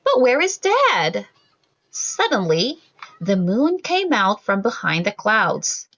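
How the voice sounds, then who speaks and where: A woman reading a children's story aloud from a script, in an expressive, deliberate way for young listeners. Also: medium pitch, lo-fi sound. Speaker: storybook reader.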